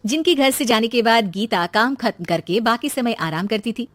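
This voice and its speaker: High-voiced, animated woman storyteller. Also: normal speed, no echo, studio quality. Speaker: story narrator. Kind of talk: scripted cartoon story narration.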